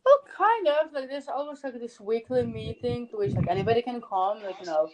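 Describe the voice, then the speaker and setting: A woman guest talking casually on an online call, relaxed and filling her talk with "like". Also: a little high, a little fast, noisy background. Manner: relaxed, uses "like" a lot, laughs easily. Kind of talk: casual interview chat.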